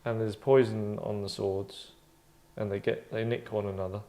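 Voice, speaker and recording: Man leading an actors' read-through, casual and halting, rambling, deadpan comic asides. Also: lowish voice. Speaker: table read leader among actors.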